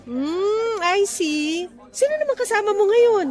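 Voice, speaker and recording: A woman's voice: extremely high pitch, animated, measured pace, darkish tone. A woman playing a friendly, chatty office worker in radio drama dialogue, with a chirpy conversational manner. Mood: friendly, casual. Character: friendly, chatty female office worker reuniting with old flame.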